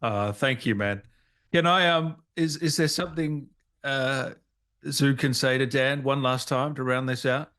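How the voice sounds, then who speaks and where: A man's voice: mid-range voice, measured pace, a bit bright. A man hosting an interview in a casual, chatty style. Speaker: interviewer, host. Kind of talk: casual chat.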